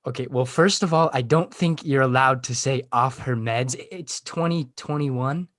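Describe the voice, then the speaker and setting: A man playing a beleaguered, sarcastic high school class president in a comedy table read, halting, defensive and easily brought to tears. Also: fairly bright tone, no echo, clean studio sound, close to an everyday voice.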